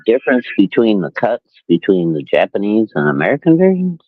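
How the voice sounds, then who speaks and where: A man's voice: fairly low pitch, wildly swooping pitch, brisk, no room sound. A man chiming in on a casual chat with short interjections and backchannels, heard over tinny phone-like call audio.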